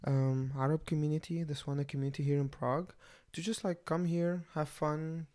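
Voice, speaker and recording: A man's voice: a little high, steady tone, brisk, dark tone. A man in his twenties from Saudi Arabia, of Egyptian family, chatting casually as co-host of a radio show. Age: 20s. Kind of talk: casual, conversational talk show.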